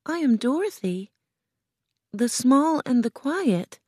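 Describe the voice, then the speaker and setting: A woman acts out a meek little storybook girl with a high, lilting voice. She sounds earnest, polite and pleading, in a dry, close recording. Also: big pitch swings, slow pace, darkish tone, no echo, full character voice. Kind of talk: storybook character voice.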